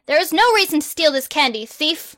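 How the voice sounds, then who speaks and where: A woman's voice: high voice, expressive, fairly quick, fairly bright tone, dry recording, clean studio sound, voice pushed up. A woman voicing a pompous, self-proclaimed boy wizard hero who makes grandiose, bossy proclamations.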